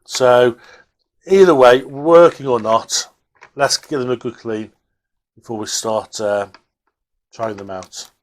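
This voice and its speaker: A man talks casually at a medium pitch as he works at a hobby bench. His delivery is unscripted and hesitant, thinking aloud as he presents to viewers. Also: slow, pauses often. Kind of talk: casual unscripted workbench commentary.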